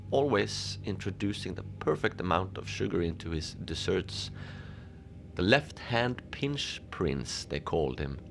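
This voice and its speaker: A man playing a lonely, gentle Antarctic facility manager, rambling hesitantly and apologetically in a cozy fiction podcast monologue. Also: on the low side, vocal fry, slight background noise. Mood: admiring storytelling. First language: Norwegian. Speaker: podcast narrator.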